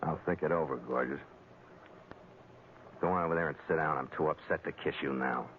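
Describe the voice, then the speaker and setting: Wry sea captain on tinny old radio. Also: male voice, extremely low pitch, steady tone, brisk, noisy background. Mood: cool, guarded. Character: tough, wry sea captain of a trading ketch. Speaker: lead actor and narrator of a radio drama. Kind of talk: 1940s radio drama acting and narration. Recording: tinny, band-limited 1940s radio recording, orchestral music.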